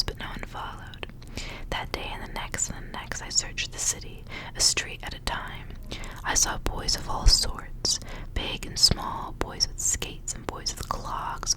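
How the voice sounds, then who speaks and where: A woman whispers her way through a story in an ASMR style. Her voice is breathy and entirely hushed, and she phrases each line softly and deliberately. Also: poor audio. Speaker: ASMR reader. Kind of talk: ASMR storybook reading with casual asides.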